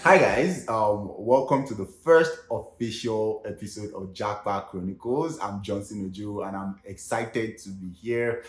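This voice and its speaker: A man's voice: on the low side, unhurried, hardly any pauses, echoey room, faint music behind. A young man with a Nigerian Yoruba accent hosting a casual comedic vlog, chatty and full of fillers like "you know". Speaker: vlog host.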